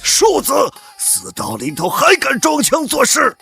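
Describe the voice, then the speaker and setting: A man voicing a sinister, fanatical old cultivation elder in an animated comic, with a creaky, gloating, theatrical delivery. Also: very high voice, a little slow, soft background music, exaggerated voice. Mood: alarmed anger. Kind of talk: dramatic animated-comic dialogue.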